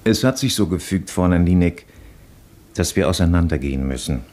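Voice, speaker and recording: An aristocratic baron in a radio drama. His voice is very low. He speaks with formal, measured courtesy, as a scrupulous and kindly employer would. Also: animated, darkish tone. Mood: formal, considerate. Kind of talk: radio play acting.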